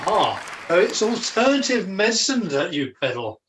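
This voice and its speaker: An echoey, distant home video call carries a man playing a foolish pantomime jester. He has a high voice and declaims in a mock-grand, old-fashioned way, protective of his companion. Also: very animated, moderate pace, a bit bright, a faint crowd. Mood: wry, intrigued. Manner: theatrical, mock-archaic declaiming. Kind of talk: amateur pantomime acting. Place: at home, indoors.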